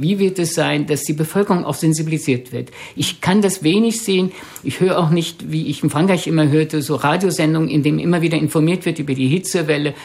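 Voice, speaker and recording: A man guest on a radio talk show, speaking earnestly and haltingly, often repeating words. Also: a little high, creaky, a little mellow.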